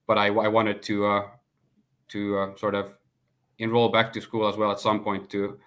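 A man in his thirties from Finland, a guest on a video call interview, speaking in a measured way with filler pauses. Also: on the low side, monotone, a bit bright. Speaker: guest, sports consultant. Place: home room, indoors. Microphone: laptop webcam on video call.

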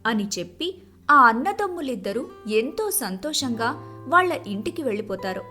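A woman's voice: fairly high pitch, very animated, moderate pace, pushed and bright, soft background music. A woman narrating a scripted moral story in storybook style.

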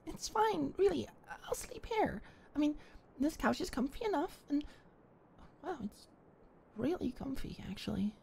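A young woman in an ASMR roleplay, talking shyly and stammering as a flustered neighbor, sometimes dropping to a soft whisper. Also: high-pitched, sing-song, a little slow, creaky, long pauses, a little hiss or hum. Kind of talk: ASMR roleplay, one-sided dialogue.

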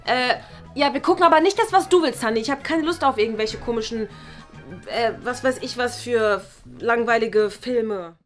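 A young woman playing an indignant, sulky roommate who feels slighted, talking in a halting, colloquial way in a web drama. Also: on the high side, soft background music, natural voice. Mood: grudging. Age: young adult. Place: living room indoors.

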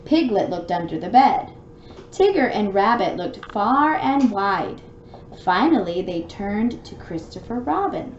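A woman reading a picture book aloud to a small child in an expressive, sing-song, child-directed way at home. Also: mid-range voice, unhurried, roomy sound. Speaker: children's story time reader. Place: room at home with bookshelves. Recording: dull lo-fi home recording with noise.

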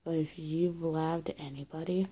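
A woman's voice: low-pitched, fairly even intonation, normal speed, mellow, breathy edge. A young woman acting out an improvised roleplay on her own, speaking from behind a scarf with a slightly muffled voice. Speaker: amateur roleplay video creator. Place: small plain indoor room. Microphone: phone or webcam at arm's length.

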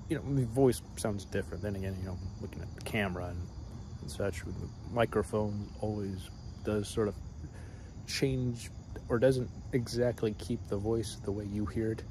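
Man in his thirties, low voice, halting vlog ramble, night crickets loud in the background. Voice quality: fairly deep voice. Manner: hesitant, full of fillers and trailing thoughts. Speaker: vlogger. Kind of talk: rambling casual monologue. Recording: loud cricket noise behind voice. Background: crickets and insects at night.

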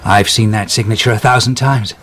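A man's voice: on the low side, fairly even intonation, fairly quick, a bit bright, slight background noise, lightly acted. An actor playing a nervous, obliging male records clerk who stammers and hesitates in a radio drama.

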